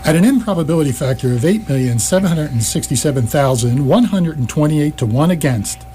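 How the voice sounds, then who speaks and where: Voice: mid-range voice, expressive, a little fast, warm tone, slight background noise, close to an everyday voice. Relentlessly cheery ship computer, acted on stage. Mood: cheerful countdown. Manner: chirpy announcing, breaking into song. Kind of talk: staged radio theatre acting.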